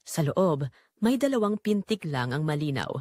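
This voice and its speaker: Animated woman narrating a dramatic story. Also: sits low, moderate pace, dry recording, studio quality. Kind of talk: scripted dramatic first-person narration.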